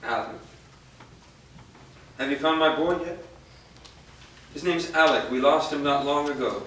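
A man's voice: on the high side, measured pace, fairly bright tone. A man playing a frail, confused father pleading for his lost son in a staged play reading. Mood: desperate, confused. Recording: echoey room, far mic, faint noise.

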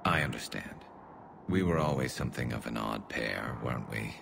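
A male alien soldier from a sci-fi game. The voice is rock-bottom deep and full of gravel, with a faint metallic flange. He is dry, teasing and sure of himself, yet awkwardly tender, over noisy ambience. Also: lively intonation, normal speed, exaggerated voice. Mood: tentative, tender. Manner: dry, teasing, laid-back. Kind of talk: video game voice acting.

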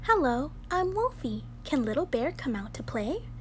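A woman's voice: high voice, very animated, normal speed. A young woman voicing a friendly, polite little wolf pup in a children's storybook. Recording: home recording with clear background noise.

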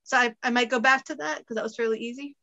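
Woman in her late twenties, pregnant, bright and fairly high voice, chatty and laughing, video call from home. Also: steady tone, lo-fi sound. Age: late 20s. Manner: chatty, laughs often, quick asides. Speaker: guest costumer. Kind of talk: casual video-call chat. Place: home room, indoors.

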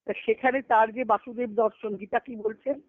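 A woman giving a devotional discourse on a conference call, telling stories earnestly and slowing down to recite scripture verses. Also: on the high side, steady tone, no room sound. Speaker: spiritual discourse speaker. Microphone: phone on a conference call. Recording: tinny phone line, dry.

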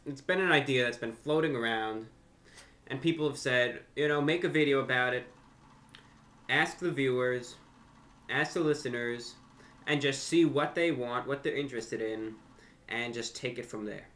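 A young man talking unscripted to camera as a host, with deliberate, slightly formal phrasing, pausing and repeating words. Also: medium pitch, a bit bright. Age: young adult. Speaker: YouTube host and amateur radio broadcaster. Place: furnished room at home, indoors.

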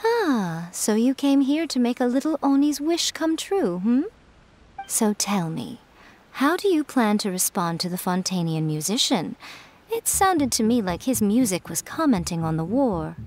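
A woman's voice: fairly high pitch, sing-song, unhurried, darkish tone. A woman voicing a sly, teasing fox shrine priestess in a video game. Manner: playful, teasing.

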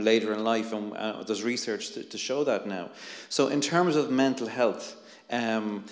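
A middle-aged Irish man on a conference panel, earnestly talking on a handheld mic with many restarts and fillers. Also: fairly low pitch. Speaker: panelist, anti-bullying researcher. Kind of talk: panel discussion. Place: conference hall, seated on stage. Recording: echoey hall with long reverb.

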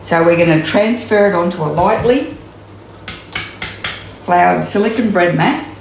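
A woman's voice: lowish voice, a little slow, slight background noise. A woman in her sixties with an Australian accent, chatting through a home cooking demonstration with many ums and restarts, recorded in her kitchen on a camera mic a few metres away. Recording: echoey kitchen room.

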